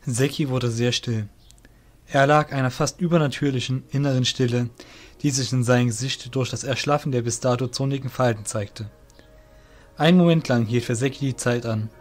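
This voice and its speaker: A man narrating a scripted audio drama as storyteller, in a measured, literary reading manner. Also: mid-range voice, fairly even intonation, soft background music.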